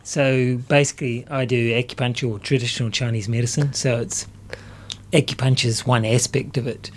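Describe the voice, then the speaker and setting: A man speaking as a guest in a casual radio interview, explaining things in a halting, reflective way. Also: medium pitch, steady tone. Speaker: studio guest, acupuncture practitioner. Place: radio studio. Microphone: studio microphone.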